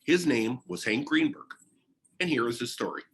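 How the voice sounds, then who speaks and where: A man reads a children's picture-book biography aloud with a lively, varied pitch. He phrases deliberately, pausing between short groups of words. A faint layer of background noise sits behind his voice.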